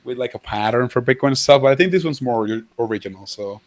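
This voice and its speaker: A man's voice: medium pitch, quick pace, dry recording. A man from Venezuela, a native Spanish speaker, chatting casually as a podcast guest with a hesitant, filler-heavy way of talking. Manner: hesitant, many fillers and restarts. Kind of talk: casual chat.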